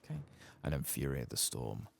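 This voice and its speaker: Very deep, creaky man, soft-spoken. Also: wildly swooping pitch, a little fast. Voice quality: gravelly, husky. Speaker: game streamer. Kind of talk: soft-spoken ASMR gaming commentary, reading quest text aloud.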